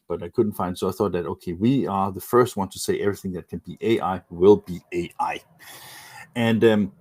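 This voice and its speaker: A man with a Danish accent, presenting a webinar lecture in English and often pausing with fillers and restarts. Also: sits low, a little mellow, no room sound. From Denmark. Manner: hesitant, many fillers and restarts. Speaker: webinar presenter, magazine editor.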